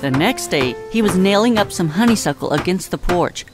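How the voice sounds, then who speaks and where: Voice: mid-range voice, lively intonation, moderate pace, music in the background. A narrator reading a scripted storybook tale in an audio drama.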